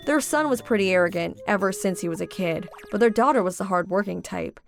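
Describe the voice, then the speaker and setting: A woman's voice: medium pitch, fairly quick, gravelly, faint music behind. A woman reading scripted first-person story narration as the narrator of a dubbed comic.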